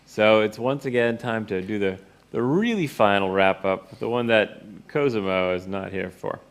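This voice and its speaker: Gravelly-voiced man telling a story. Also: fairly low pitch, normal speed. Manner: wry, acts out remembered dialogue. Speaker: presenter, course teacher and author. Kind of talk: informal first-person storytelling to an audience.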